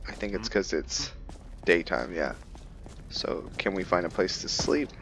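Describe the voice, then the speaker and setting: A man giving casual let's-play commentary, curious and thinking out loud, now and then dropping to a hushed whisper. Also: deep voice, very animated, a little slow. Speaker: gameplay commentator.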